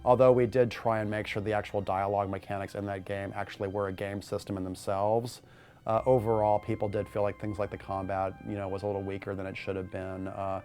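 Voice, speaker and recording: A man explains his views in an interview with a low, flat-pitched voice. He is analytical and stops and starts as he goes. There is light music behind him. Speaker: game developer.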